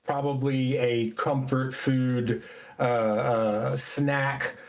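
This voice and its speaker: A man narrating an improvised tabletop game as game master, descriptive and halting as he builds the scene. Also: medium pitch, little pitch movement.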